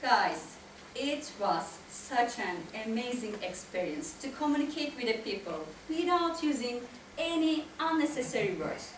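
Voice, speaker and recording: A woman in her thirties with a Turkish accent giving an expressive, prepared storytelling speech to listeners. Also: fairly high pitch, measured pace, far from the mic. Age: 30s. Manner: expressive, addresses listeners directly. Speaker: club speaker, tango dancer. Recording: echoey room from afar, faint noise.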